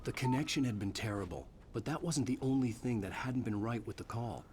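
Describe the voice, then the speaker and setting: A man acting a haunted writer narrating his own dark thriller in a video game. His voice sits at an ordinary pitch, brooding and scripted, with clear noise behind it. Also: brisk, natural voice. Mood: brooding, tense.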